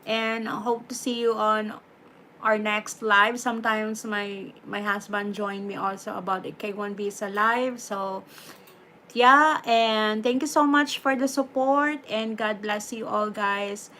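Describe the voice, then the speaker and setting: A woman with a Filipino accent hosting a casual livestream, chatty and relaxed, giving advice and slipping in Tagalog words. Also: mid-range voice, unhurried, slight background noise. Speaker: livestream host.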